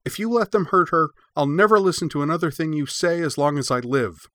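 Storybook young girl, played by a male reader: raised pitch, tender, stubborn, bright tone. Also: expressive, a little fast, dry recording. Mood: upset, pleading. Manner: soft reassuring coaxing, scornful when crossed. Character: young girl, gentle with animals, fiercely defiant.

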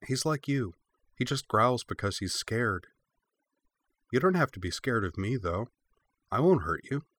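Storybook young girl, played by a male reader: raised pitch, tender, stubborn, bright tone. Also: lively intonation, measured pace, dry recording. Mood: gentle, soothing. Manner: soft reassuring coaxing, scornful when crossed. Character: young girl, gentle with animals, fiercely defiant.

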